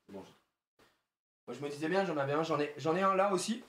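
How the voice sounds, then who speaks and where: A man's voice: on the high side, quick pace. A man chatting casually on a live stream as he opens trading card packs, joking around and laughing often. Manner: animated and jokey, laughs often, plays on words.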